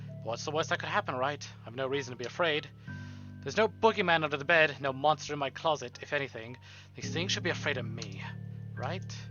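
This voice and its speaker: A man's voice: on the high side, bright, forceful tone, dull audio. A man live-streaming a visual novel, reading it aloud dramatically with casual, joking, sweary asides, over music. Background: game music.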